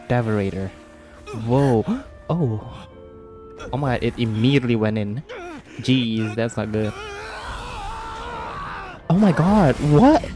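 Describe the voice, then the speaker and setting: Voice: medium pitch, mellow. A gamer giving casual playthrough commentary, reacting in a slangy, exclamatory way with gasps. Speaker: gaming video creator.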